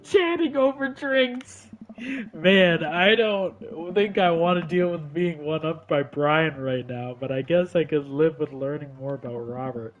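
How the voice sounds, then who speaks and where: A man's voice: on the high side, a little slow, highs cut off. A man doing casual, playful game commentary as a let's play streamer, reading narration aloud. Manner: playful, giggly.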